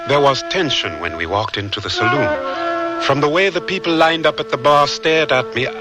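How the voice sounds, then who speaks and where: A man's voice: medium pitch, lively intonation, moderate pace, music in the background, lightly acted. An actor playing a polite, naive young Liberian man, speaking carefully and hesitantly in a radio drama. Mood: earnest, formal. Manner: careful, formal, hesitant phrasing.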